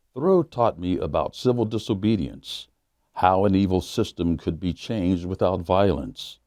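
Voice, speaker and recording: Man, pastor, children's story reading, earnest, measured, very deep voice, dry close sound. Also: dark tone, dry recording. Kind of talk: reading a children's book aloud.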